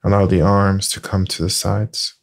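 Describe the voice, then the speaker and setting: A man leading a yoga nidra session, giving soft, calm, unhurried instructions with long pauses. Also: deep voice, creaky. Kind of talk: slow guided meditation.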